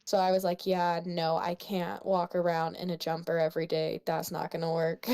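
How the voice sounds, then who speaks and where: A woman's voice: lowish voice, completely flat delivery, moderate pace, darkish tone, clean tone. A young woman chatting casually with a friend, giggly and playful, rambling as she tells stories. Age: young adult.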